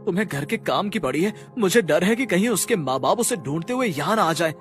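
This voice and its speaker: A man's voice: sits high, animated, fairly quick, soft background music. A man playing a harsh, self-concerned husband with a stern manner in a cartoon story. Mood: anxious, irritated.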